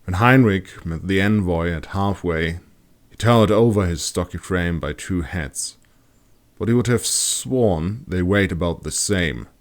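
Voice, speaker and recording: A man's voice: sits low, measured pace. A man reading his own fantasy audiobook as a scripted narration.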